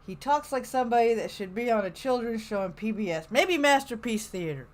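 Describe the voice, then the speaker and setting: A woman hosts a casual commentary podcast with a lively, swinging delivery. She is sarcastic and chatty, heckling as she goes and swearing mildly. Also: fairly high pitch, brisk. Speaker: podcast host. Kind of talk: casual live chat commentary on old radio shows.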